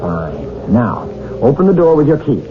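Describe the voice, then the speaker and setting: A man's voice: mid-range voice, huge pitch swings, a little slow, music in the background. An actor playing a firm, encouraging male friend in a radio drama, giving short, instructive commands. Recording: tinny, band-limited old radio drama broadcast.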